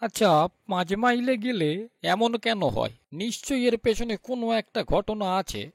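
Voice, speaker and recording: A man playing a timid, easily frightened companion on a ghost hunt in a horror cartoon, with a creaky, hesitant delivery. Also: very high voice, expressive, moderate pace, no room sound, studio quality. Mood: doubtful. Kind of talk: animated horror story dialogue.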